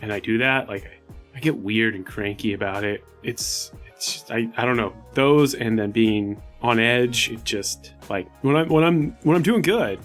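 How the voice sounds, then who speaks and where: A man's voice: medium pitch, moderate pace, a bit bright, faint music behind, close to an everyday voice. A man voicing a nervous but generous hoarder in a tabletop game, muttering and stammering hesitantly. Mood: uneasy. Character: nervous, generous hoarder anxiously rationing his sodas.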